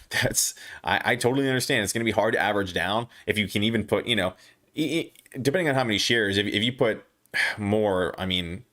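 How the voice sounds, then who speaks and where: A young man hosting a casual stock livestream, reading viewer comments aloud and answering them. He talks haltingly with many restarts and fillers, and his voice is going hoarse. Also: fairly low pitch, fairly bright tone. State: losing his voice, clearing throat.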